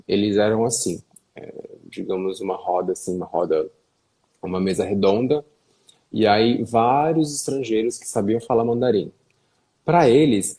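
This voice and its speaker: A man's voice: medium pitch, darkish tone, slightly rough. A young Brazilian man talking casually as an interview guest, sprinkling his speech with fillers and tag questions over a video call. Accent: Brazilian Portuguese.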